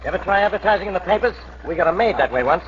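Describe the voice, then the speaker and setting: An actor playing a gruff, sarcastic, irritable homicide inspector in a 1940s radio detective drama. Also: male voice, high-pitched, expressive, rapid-fire. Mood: sarcastic, dismissive. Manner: wisecracking, brusque. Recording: muffled, noisy 1940s radio recording.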